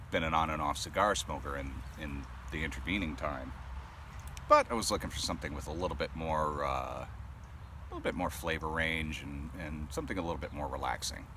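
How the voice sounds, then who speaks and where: A man's voice: very deep voice, pushed and bright. A man in his thirties from Rhode Island with a neutral voice, chatting casually to camera and rambling with lots of ums and uhs. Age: 30s. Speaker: pipe-smoking vlogger. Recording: clear traffic noise outdoors.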